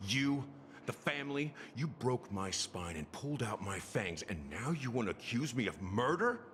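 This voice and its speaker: A man voicing a cynical, weary, rough-edged security officer in a video game, with a sardonic, theatrical delivery. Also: medium pitch, very animated, normal speed, a bit bright, a little hiss or hum. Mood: bitter, indignant.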